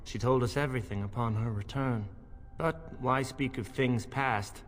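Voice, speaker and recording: An actor playing a solemn knight on guard in a fantasy game, speaking in an earnest, measured, formal way. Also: male voice, medium pitch, close to an everyday voice. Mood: somber concern. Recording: long hall reverb.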